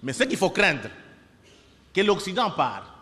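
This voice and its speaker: A man's voice: high-pitched, very animated, measured pace, sharp tone. A man with a Congolese accent gives an impassioned, didactic public lecture, exhorting his listeners and putting rhetorical questions to them. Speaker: speaker addressing an audience of young people and students. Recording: echoey hall with long reverb.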